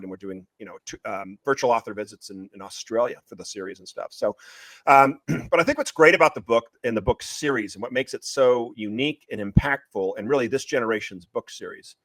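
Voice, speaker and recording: Fast-talking, emphatic male author. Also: lowish voice, no echo. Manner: emphatic, opinionated, repeats phrases for stress. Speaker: author, interview guest. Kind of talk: passionate conversational pitch of a book series.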